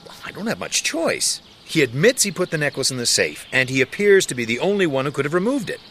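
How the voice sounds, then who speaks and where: A man's voice: fairly high pitch, very animated, quick pace, a bit bright, a little hiss or hum. An actor playing a skeptical, blunt male police sergeant in a radio drama. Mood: skeptical, pressing. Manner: blunt, probing.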